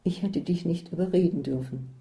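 A woman reading the lines of an easygoing, teasing male traveling companion in a storybook reading, in a calm, matter-of-fact way. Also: fairly low pitch, brisk, natural voice, rough recording. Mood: apologetic.

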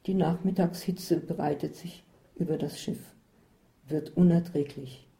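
Low, dark-voiced woman narrator. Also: steady tone, creaky. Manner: measured, descriptive. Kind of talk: first-person story reading.

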